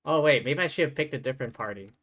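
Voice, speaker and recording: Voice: mid-range voice, racing, fairly bright tone. A game streamer giving casual live commentary, muttering informally to themselves while playing. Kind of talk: casual live gameplay commentary, reading dialogue options aloud.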